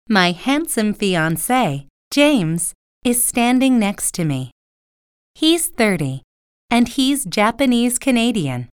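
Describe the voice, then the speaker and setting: A woman voices Ming, a bride in her twenties, telling about her wedding day. Her voice is smooth and clear with a lively melody. She speaks slowly in a dry, close recording. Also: fairly high pitch, no echo. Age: 20s. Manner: clear, measured storytelling. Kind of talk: scripted reading passage.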